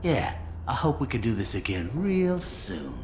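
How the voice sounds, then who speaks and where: A man playing a slippery, cynical criminal fixer in a video game, with a smug, weaselly manner and a gravelly voice. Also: medium pitch, wildly swooping pitch, unhurried, noisy background. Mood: defiant, sarcastic.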